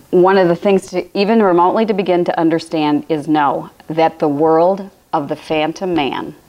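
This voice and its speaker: A woman speaks in a low, raspy voice that keeps cracking. She gives a spiritual talk on a live stream from home, circling back over her points and restarting her sentences as she goes. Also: a little mellow. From Illinois, USA. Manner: rambling, repetitive phrasing with frequent restarts. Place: home room with a fireplace, indoors.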